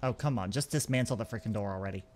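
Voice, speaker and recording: A man's voice: on the low side, very fast, a little mellow, a little hiss or hum. Man thinking aloud in casual game commentary. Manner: thinks aloud, talking through each step. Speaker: gaming video host. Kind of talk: casual let's-play commentary.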